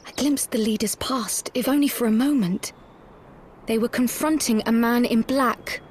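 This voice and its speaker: An actress playing an earnest young woman in a fantasy game, soft-spoken, tentative and formal. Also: fairly high pitch, normal speed, a little hiss or hum, natural voice. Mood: hesitant, serious.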